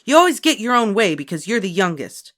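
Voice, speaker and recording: A woman's voice: medium pitch, big pitch swings, brisk, fairly bright tone, pitched above the performer's own voice. A woman voicing a responsible older sister, a girl who complains in a raised, indignant voice. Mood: arguing.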